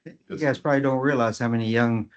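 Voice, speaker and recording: A man's voice: medium pitch, fairly even intonation, quick pace. A man chairing a county commission meeting, thanking guests in an earnest, plain-spoken way. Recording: dull lo-fi meeting recording.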